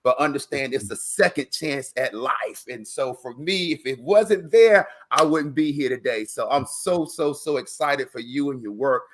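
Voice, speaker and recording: A man with a medium-pitched voice works as an interview host. He talks casually and encouragingly, with an earnest manner, and often doubles up words to stress them. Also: moderate pace, few pauses.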